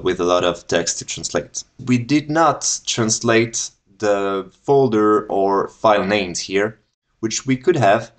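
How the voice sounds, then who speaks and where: A man in his thirties from France with a French accent, giving a recorded conference talk from home and explaining things step by step with fillers and restarts. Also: on the low side. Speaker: conference speaker. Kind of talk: recorded tech talk with screen walkthrough. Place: home room, indoors.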